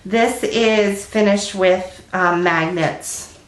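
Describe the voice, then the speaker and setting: A woman's voice: medium pitch, extremely slow, slight background noise. Stuffed-up middle-aged woman rambling about her stitching at home, lots of ums, kinda roomy echo. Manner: rambling, chatty with many ums. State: congested with a cold. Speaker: flosstube host. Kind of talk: casual cross-stitch vlog chat. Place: at home, indoors.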